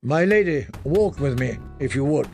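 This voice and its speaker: A man's voice: fairly high pitch, animated, normal speed, vocal fry, a little mellow, faint music behind. A man reading an audiobook, voicing a grim young lord commander who gives firm, curt orders. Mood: commanding.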